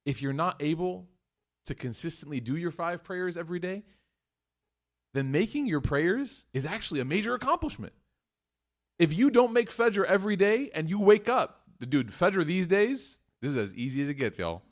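A man giving a live sermon to a room of listeners, chatty and joking, moving between loud emphatic bursts and hushed points. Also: sits high, big pitch swings, moderate pace. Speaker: mosque speaker. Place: room with an audience.

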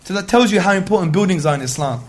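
High-pitched man preaching emphatically. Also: lively intonation, racing. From Greek heritage. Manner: emphatic, rhetorical, peppered with Arabic phrases. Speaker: Islamic lecturer. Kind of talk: religious talk to an audience, with questions.

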